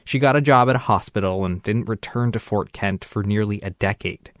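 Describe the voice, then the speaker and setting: A man reading a scripted radio news report in a measured, even delivery. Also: fairly low pitch, dry recording, studio quality.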